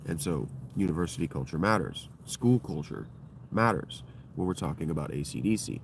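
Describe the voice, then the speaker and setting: A man with a deep voice hosting his own livestream, talking in a loose, digressive and profane monologue that keeps circling back on itself. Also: expressive, normal speed, vocal fry, a little hiss or hum. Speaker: livestream host. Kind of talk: rambling solo livestream monologue.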